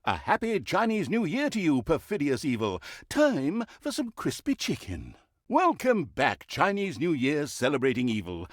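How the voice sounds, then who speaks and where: A man's voice: on the high side, no echo, studio quality. A man playing a grandiose, tongue-in-cheek narrator in a fantasy video game, with mock-solemn, theatrical delivery.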